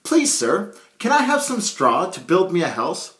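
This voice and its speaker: Tiny squealy piglet from a kids story, super high, voice jumps all over. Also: male voice, wildly swooping pitch, unhurried, fairly bright tone, exaggerated voice. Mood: pleading. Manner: shrill, squealing delivery. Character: small frantic male piglet, squealing and defiant. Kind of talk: storybook character voice.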